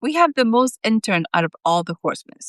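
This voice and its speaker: A blunt, sarcastic woman army veteran in a storybook, read by a woman. She sounds sceptical and exasperated, with very animated intonation and a dry, close recording. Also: a little high, fairly quick, no room sound, voice pushed up.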